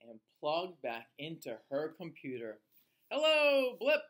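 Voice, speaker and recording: A man in his thirties reading a picture book aloud to children like a teacher, with an exaggerated, drawn-out delivery. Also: on the high side, huge pitch swings, fairly bright tone. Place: small indoor room, seated facing camera.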